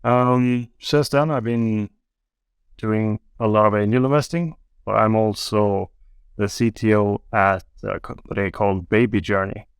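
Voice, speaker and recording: Low-voiced man, smooth and dry. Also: a little slow, no room sound. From Sweden. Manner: relaxed, wry storytelling with many ums. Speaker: guest, angel investor. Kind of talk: casual podcast interview.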